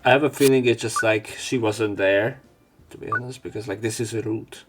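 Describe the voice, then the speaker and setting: Low-voiced man narrating a visual novel over music. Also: steady tone, a little fast. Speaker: let's play host reading aloud and commenting. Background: game music.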